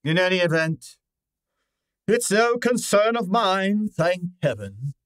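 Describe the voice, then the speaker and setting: Man acting a pompous, high-pitched radio detective. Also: big pitch swings, slow, a bit bright, clear, resonant tone, dry recording, clean studio sound, heavily acted. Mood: irritated, dismissive. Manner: pompous, formal, ornate vocabulary, exasperated. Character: pompous, gruff, money-minded male detective, curt and imperious. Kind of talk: old-time radio drama acting.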